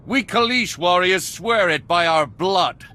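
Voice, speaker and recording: A man's voice: sits high, lively intonation, measured pace, bright, forceful tone, full character voice. A man voicing a fierce, bombastic alien warrior in a video game, declaiming with menace. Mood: menacing, outraged. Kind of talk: video game cutscene dialogue.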